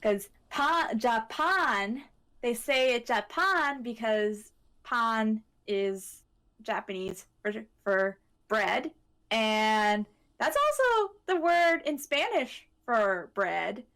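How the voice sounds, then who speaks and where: A woman's voice: a little high, lively intonation, extremely slow, fairly bright tone. A woman co-hosting a podcast in a casual, conversational style. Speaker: podcast co-host. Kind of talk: casual chat.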